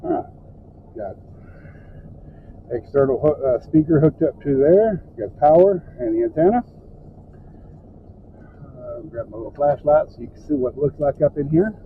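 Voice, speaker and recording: A man's voice: on the high side, expressive, normal speed, long pauses. Tinny-sounding man giving a casual how-to. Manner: plain-spoken, step-by-step, folksy phrasing. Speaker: truck driver and trainer giving a tutorial. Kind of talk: informal hands-on how-to narration. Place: inside a truck cab. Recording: tinny phone-like audio with background noise.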